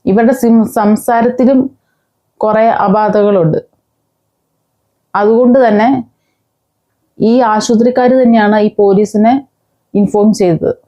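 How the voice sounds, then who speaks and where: A woman vlogger, giving angry, scolding commentary with many pauses and restarts. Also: on the high side, fairly even intonation, warm tone. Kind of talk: angry commentary on a news case.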